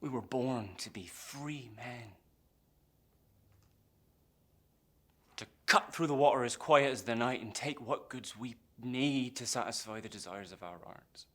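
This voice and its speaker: Guy acting as a bitter pirate mutineer, theatrical, brooding, goes from hushed threats to big fiery speeches. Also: medium pitch, normal speed, few pauses, natural voice. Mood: fervent longing. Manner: brooding, swinging from hushed menace to impassioned outbursts. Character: embittered, defiant English pirate mutineer, a former pressed sailor. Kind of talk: theatrical dramatic monologue.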